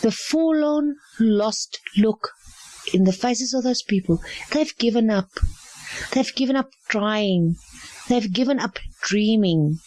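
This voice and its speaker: A woman's voice: on the high side, expressive, slow pace, darkish tone, dry recording, poor audio. A middle-aged South African woman whose first language is Afrikaans, speaking earnestly and repeating phrases for emphasis. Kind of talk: earnest spoken testimony.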